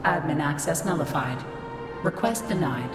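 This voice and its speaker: Cold female game AI, very low pitch, clipped formal machine delivery, long cavernous reverb. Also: animated, normal speed. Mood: cold, impassive. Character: cold female computer system guarding human civilization. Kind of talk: scripted game voice acting. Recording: long echoing reverb, music behind.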